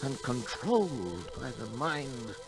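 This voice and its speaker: Haunted, intense scientist, old radio drama. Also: male voice, medium pitch, sing-song. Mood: brooding, haunted. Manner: theatrical, dramatic, halting. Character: tormented male scientist, frightened, intense, haunted by his creation. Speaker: lead actor and narrator of diary entries. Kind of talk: 1940s radio drama acting. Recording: 1940s radio recording with loud music behind.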